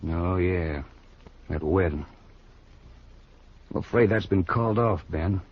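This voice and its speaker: Cocky young cowboy, low husky voice, needling, 1950s radio western, dull sound. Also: male voice, slow pace. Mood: smug, taunting. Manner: smug, needling, defiant. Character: cocky, taunting young half-wild cowboy rival. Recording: dull old radio recording, faint music.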